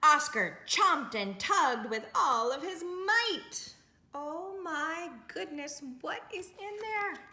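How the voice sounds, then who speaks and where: A woman's voice: very high-pitched, lively intonation, slow pace, pushed and bright, slight background noise. A woman reading a children's picture book aloud as a storytime host, with dramatic delivery and friendly asides to young listeners. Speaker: library storytime reader. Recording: roomy, echoing indoor recording.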